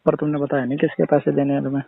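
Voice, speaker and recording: A man's voice: a little high, completely flat delivery, racing, gravelly, husky, no echo. A man playing a suggestive employer on a phone call, coaxing and circling hesitantly, with frequent tag questions in casual colloquial talk. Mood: bargaining. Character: wheedling, suggestive male employer bargaining with his maid. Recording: noisy, dull phone-call recording with clicks.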